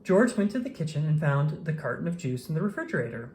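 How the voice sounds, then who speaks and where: A person reading a children's storybook aloud in an expressive, animated way with dramatic emphasis. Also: medium pitch, fast, slight background noise. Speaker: children's book reader.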